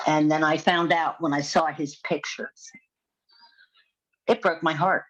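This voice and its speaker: A woman's voice: low-pitched, steady tone, a little slow. An older woman asking a question on a video call, speaking emotionally and repeating her words. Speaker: audience member in Q&A. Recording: dull lo-fi video call audio.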